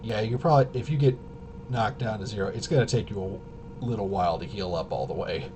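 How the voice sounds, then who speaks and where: A man casually explaining game rules unscripted, halting and rambling as he thinks aloud. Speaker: tabletop RPG reviewer and explainer. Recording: clearly noisy with low rumble.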